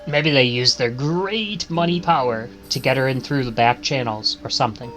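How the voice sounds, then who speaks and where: A bright, forward male voice reads a visual novel aloud for a stream. He trips over words now and then and corrects himself, with faint music behind him. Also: medium pitch, normal speed. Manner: stumbles and corrects himself while reading. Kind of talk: reading game text aloud with asides.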